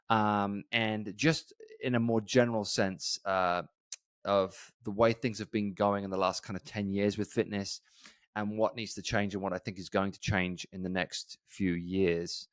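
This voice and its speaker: A man in his forties hosting his own fitness podcast alone, talking casually to his listeners with many pauses and restarts. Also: sits low, no echo. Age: 40s. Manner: rambling, many ums and restarts, conversational. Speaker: gym owner and podcast host. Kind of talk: casual solo podcast talk.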